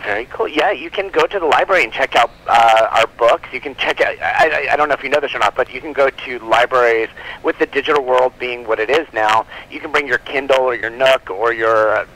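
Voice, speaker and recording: A man's voice: mid-range voice, brisk, pushed and bright. A man being interviewed as a guest, chatting casually in a rambling way with plenty of filler words like "like" and "you know.". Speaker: comic book publisher, interview guest. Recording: noisy phone call from guest.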